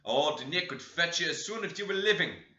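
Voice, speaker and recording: A man doing a croaking, preachy old servant. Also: on the high side, animated, moderate pace, sharp tone, pitched above the performer's own voice. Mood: scolding. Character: harsh old male servant, preachy, scolding. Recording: roomy dull lo-fi recording.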